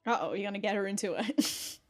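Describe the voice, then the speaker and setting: A woman giving casual, excitable reaction commentary as host, swearing freely and often breaking into laughter. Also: mid-range voice, fast.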